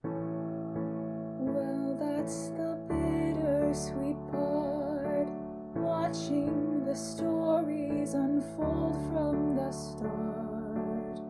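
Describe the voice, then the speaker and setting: A wistful, ageless woman, played by an actress, sings in an audio drama. Her voice is extremely high and very slow, over prominent piano music. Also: fairly even intonation. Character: wistful immortal woman singing of mortal lives.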